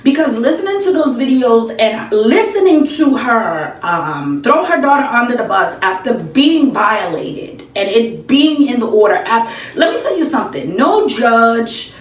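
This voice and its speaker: A woman's voice: a little high, sing-song, unhurried, few pauses. An American woman in her thirties giving emphatic, casual, opinionated commentary to camera, repeating phrases for effect. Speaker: YouTube commentator. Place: small indoor room with bare walls. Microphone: camera a few feet away. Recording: echoey room, distant mic.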